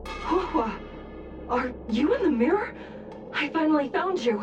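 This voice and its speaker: A woman playing a composed, commanding judge in a video game, stern but reassuring, speaking in a formal, measured, authoritative way. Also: high voice, lively intonation, music in the background. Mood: urgent, composed. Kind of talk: video game character dialogue.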